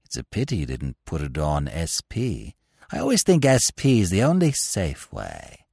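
A man voicing a sly young swindler who speaks low, quiet and oily. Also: huge pitch swings, normal speed, vocal fry, breathy edge, dry recording, studio quality, full character voice. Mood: smug. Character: sly young male swindler, smug and unflappable. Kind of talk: audiobook character voice.

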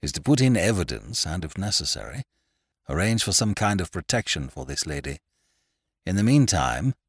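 A cool senior barrister voiced by a male narrator, formal and authoritative. He questions in a measured, probing way. The sound is close and dry. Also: low-pitched, very animated, breathy edge, no room sound, full character voice.

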